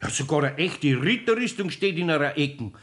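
An older Bavarian carpenter in a radio drama. He is homely and good-natured with a grumbling streak, his fairly high voice rising and falling in a lively way. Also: moderate pace. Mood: patient, amused. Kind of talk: 1960s radio play acting.

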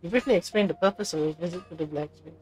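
A woman's voice: on the low side, normal speed, darkish tone, low-quality recording. A woman giving casual commentary as she plays a game, reading along and reacting. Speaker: gamer. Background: game music.

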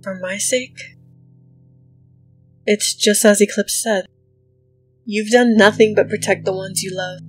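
A woman's voice: medium pitch, steady tone, slow, long pauses, breathy edge, soft background music. A woman playing a devoted, wounded but defiant inventor in a dramatic comic dub, speaking earnestly with emotional weight. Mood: hurt, insistent.

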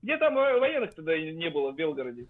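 A man's voice: fairly high pitch, big pitch swings, fast talker. A man in his thirties on a video call with an earphone mic, combative and dismissive. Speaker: chat roulette stranger. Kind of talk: argumentative video chat. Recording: tinny video call line.

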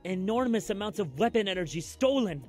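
An earnest alien soldier from a video game speaks in a fairly high male voice. He is resolute and emphatic, with faint music underneath. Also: lively intonation, a little fast. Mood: grave warning. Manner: serious, emphatic. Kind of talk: video game cutscene acting.

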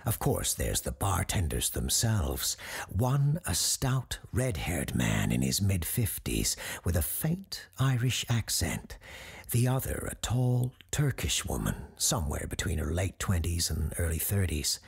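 An actor playing an eerie, learned radio host who tells supernatural tales in a measured, ominous way with deliberate pauses, his voice husky and gravelly. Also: low-pitched, very animated, exaggerated voice. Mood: measured, mysterious. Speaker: paranormal advice show host. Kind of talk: scripted dramatic storytelling.

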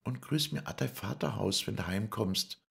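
A man voicing a warmhearted young woman, a traveling puppeteer's daughter who speaks in a lilting southern German dialect. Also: fairly low pitch, normal speed, gravelly, pitched above the performer's own voice. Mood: wistful. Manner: heartfelt, lilting dialect speech.

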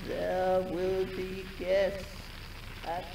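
An actor playing an eerie, doom-laden cursing voice in a 1960s radio horror drama. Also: male voice, high voice, completely flat delivery, drawn-out words, creaky, exaggerated voice. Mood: ominous. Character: eerie doom-laden voice uttering a slow curse. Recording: echoey, distant, noisy old radio recording.

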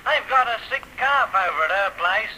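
High-pitched, earnest Australian farm lad. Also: male voice, expressive, normal speed, pushed and bright, exaggerated voice. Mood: chatty. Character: earnest, slow-witted young country farm son. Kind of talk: 1930s radio serial acting. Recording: noisy old 1930s radio recording.

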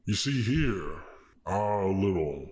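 A sinister folksy stranger , acted by a man, speaks low in a long, cavernous reverb. He taunts with smug, theatrical menace and a slow drawl, like the villain of a tabletop game. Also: sing-song, darkish tone, exaggerated voice. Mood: taunting. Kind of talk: tabletop role-play villain acting. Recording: echoing hall reverb effect on voice.

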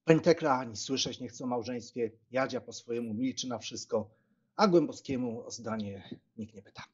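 Male actor as wry young gentleman, husky, breezy, audiobook drama, dull lo-fi sound. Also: mid-range voice, moderate pace, lightly acted. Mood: wry, resigned. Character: young Polish gentleman, joking, wry brother. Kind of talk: acted audiobook dialogue. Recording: slightly dull, lo-fi microphone sound.

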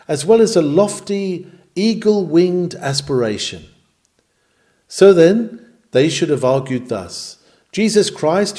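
Guy reading an old-style sermon out loud, slightly high voice, earnest n emphatic preacher delivery. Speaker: reader of historical sermons. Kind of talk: dramatic read-aloud sermon.

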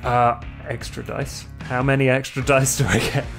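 A man playing a tabletop game, talking casually in a halting, deliberate way. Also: medium pitch, flat delivery. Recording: clear guitar music behind voice. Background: guitar music.

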